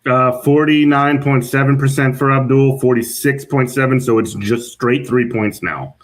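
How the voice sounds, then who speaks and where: A man's voice: mid-range voice, fairly even intonation, normal speed. A man co-hosting a casual livestream, laid-back, swearing and laughing as he chats. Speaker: stream co-host. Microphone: laptop setup on the road.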